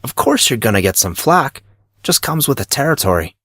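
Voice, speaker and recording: A man's voice: mid-range voice, big pitch swings, fairly quick, fairly bright tone, slightly rough, no room sound, studio quality, voice pushed up. A man voicing a teasing teenage boy, a knowing best friend with casual, slangy talk, in an audiobook story. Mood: gossipy, sympathetic. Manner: casual, knowing, uses dude and bro.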